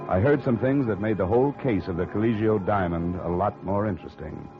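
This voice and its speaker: An actor playing a cool, wry insurance investigator in 1950s radio style, clipped and dry. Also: male voice, lowish voice, brisk, natural voice. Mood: wry narrating. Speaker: radio drama lead actor. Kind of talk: 1950s radio acting, scripted first-person narration. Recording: muffled old radio recording, music behind.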